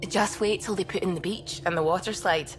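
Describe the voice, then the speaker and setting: A woman acting a science officer in a sci-fi game. She sounds composed and dryly teasing, in a slightly low register. Faint music plays behind her. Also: brisk, lightly acted. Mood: composed, wry. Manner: composed, wry. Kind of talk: video game cutscene acting.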